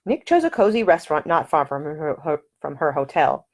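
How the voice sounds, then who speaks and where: A woman author narrating her own novel as an audiobook in a measured, expressive way. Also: sits low.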